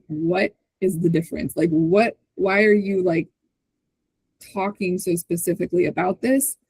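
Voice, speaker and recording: Woman, mellow and dry-sounding, conversational presenter, informal explainer, checks in often. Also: on the low side, creaky, breathy edge, no room sound, rough recording. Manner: conversational, often ends points with a checking "right?". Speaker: host presenting on a community call. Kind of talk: informal software walkthrough and explanation. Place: online video call with screen sharing.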